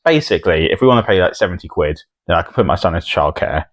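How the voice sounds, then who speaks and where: British male podcast co-host, very low voice, dry close sound, casual banter. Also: animated, very fast, no echo.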